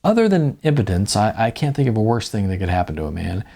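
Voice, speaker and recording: Husky, gravelly middle-aged male narrator. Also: fairly low pitch, big pitch swings, quick pace, warm tone. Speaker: author reading own audiobook. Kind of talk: first-person audiobook narration.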